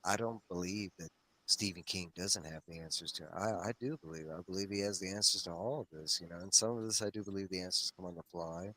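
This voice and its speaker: A man's voice: deep voice, fast talker, bright, forceful tone, rough recording. A man talking casually on a discussion show, enthusiastic and meandering, often circling back on his phrases as he explains. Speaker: host of a comics read-along discussion show.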